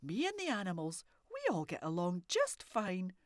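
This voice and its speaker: A woman voicing a loud, gruff old man who lives alone in the woods, for a storybook. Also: wildly swooping pitch, moderate pace, no room sound, studio quality. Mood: gentle. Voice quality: booming, loud.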